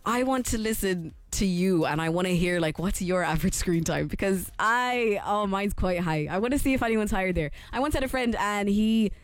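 A young Irish woman presenting on radio in a casual, chatty way, her voice hoarse from recent overuse. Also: medium pitch, dry recording. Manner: chatty, quick and animated, laughs often.

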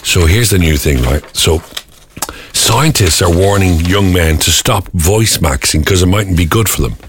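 A man's voice: low voice, expressive, measured pace, slight background noise. A man hosting a breakfast radio show, chatting casually and joking. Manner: chatty, jokey, slips into silly voices. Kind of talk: casual morning radio chat. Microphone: studio microphone.

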